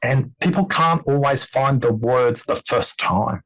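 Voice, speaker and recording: A man hosts a podcast conversation and speaks in a measured, deliberate way. He coaches his listeners and shapes each point with care. His pitch sits in a middle range. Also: fairly bright tone, poor audio.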